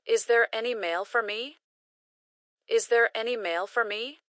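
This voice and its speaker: Slow, carefully enunciating woman. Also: on the high side, pushed and bright, dry recording, clean studio sound. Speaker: English phrase reader. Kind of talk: slow repeated phrase drills.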